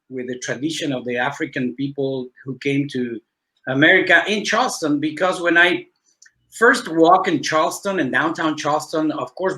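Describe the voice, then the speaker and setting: A man from Ecuador who grew up in Cuba and speaks English. He answers in an earnest, halting way, with many fillers, over a video call. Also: fairly high pitch, pushed and bright, poor audio. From Ecuador, raised in Cuba. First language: Spanish. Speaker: guest musician. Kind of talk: conversational interview answer.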